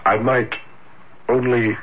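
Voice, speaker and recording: An actor playing a shy, ardent young man in an old-time radio drama, speaking in earnest, halting, impassioned declarations. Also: medium pitch, flat delivery, lightly acted. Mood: ardent longing. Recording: dull, noisy vintage radio broadcast.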